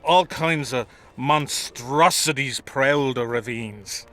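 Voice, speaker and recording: An actor playing a blunt, boozy common soldier in a fantasy game, coarse and combative. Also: male voice, mid-range voice, slow, fairly bright tone. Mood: agitated, bitter. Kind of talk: video game dialogue acting.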